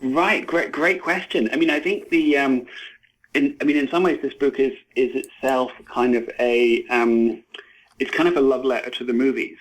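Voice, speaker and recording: A man in his forties giving a thoughtful interview, speaking haltingly with repeated words and many verbal hedges. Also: medium pitch, steady tone, low-quality recording. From Scotland. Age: 40s. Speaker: interview guest, novelist and screenwriter.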